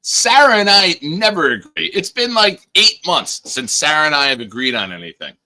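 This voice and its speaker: A man hosting a casual live-stream show, hoarse, teasing and bossing his guest around with sudden loud bursts. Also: a little high, big pitch swings, normal speed. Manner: teasing, bossy banter with sudden loud outbursts. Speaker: podcast host.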